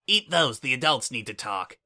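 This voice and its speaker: A man's voice: mid-range voice, very animated, normal speed, vocal fry, bright, forceful tone, exaggerated voice. A man voicing a brash, smug female pony spy in a fan audiobook reading, speaking in a flippant, mocking way.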